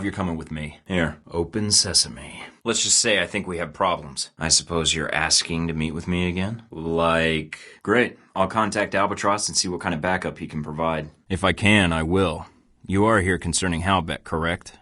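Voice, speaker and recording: A man's voice: deep voice, fairly bright tone. An actor playing a cool, sardonic male secret agent in a video game, with a dry, clipped delivery. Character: cool, wry male American spy in his 30s.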